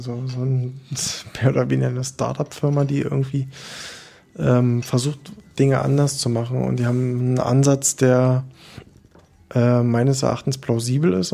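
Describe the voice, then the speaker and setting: A man speaks in a low, gravelly, mellow voice. He keeps his pitch fairly flat and steady. He answers thoughtfully, hesitating often and restarting his phrases. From Berlin, Germany. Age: 30s. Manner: hesitant, many fillers and restarts. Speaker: bar co-owner interviewee. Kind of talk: reflective interview answers.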